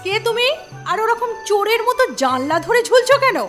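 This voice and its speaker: A woman's voice: very high voice, sing-song, brisk, fairly bright tone, soft background music, heavily acted. A woman voicing a short-tempered housewife in a comic cartoon, scolding and nagging with sarcastic indignation. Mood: appalled.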